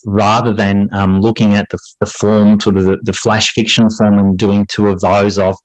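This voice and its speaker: A man answering interview questions conversationally as a podcast guest, reflective and halting as he pieces his thoughts together. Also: on the low side, dry recording, low-quality recording. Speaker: podcast guest, teacher and writer.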